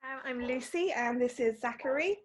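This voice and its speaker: A woman's voice: high-pitched, moderate pace. A woman speaking matter-of-factly in a video call interview from home.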